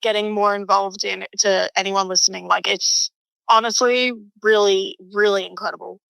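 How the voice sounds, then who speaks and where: A woman's voice: mid-range voice, fairly even intonation, normal speed, creaky, a bit bright, smooth, dry recording, poor audio. A woman speaking casually as a community member in an interview.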